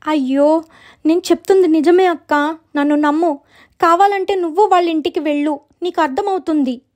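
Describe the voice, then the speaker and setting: A woman's voice: very high-pitched, moderate pace, a little mellow, pitched above the performer's own voice. A woman voicing a frightened, urgent young younger sister in a story, anxious and full of exclamations. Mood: panicked, insistent.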